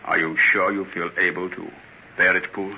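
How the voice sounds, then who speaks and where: An actor in an old-time radio play as a commanding, grave gentleman who speaks formally and decisively. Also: sits low, little pitch movement, normal speed, creaky, full character voice. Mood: grave, controlled. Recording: muffled, very hissy old radio recording.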